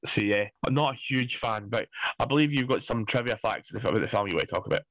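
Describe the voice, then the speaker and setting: A man's voice: medium pitch, quick pace, a bit bright, dry recording, rough recording. Sarcastic guy in his 40s ranting about a movie, dry close sound. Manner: blunt, sweary, sarcastic ranting. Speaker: podcast co-host. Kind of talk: casual chat.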